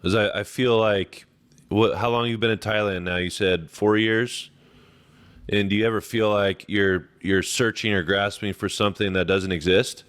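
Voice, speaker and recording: Low-voiced male podcast co-host. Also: steady tone, moderate pace, a bit bright, dry recording, studio quality. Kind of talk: casual interview questioning.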